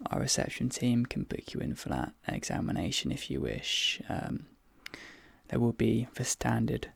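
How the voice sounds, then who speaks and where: A man performs a soft-spoken ASMR dentist role play in a very gravelly, breathy voice. He talks slowly and haltingly, drifting into whispers, and sounds close and dry. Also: mid-range voice, dry recording.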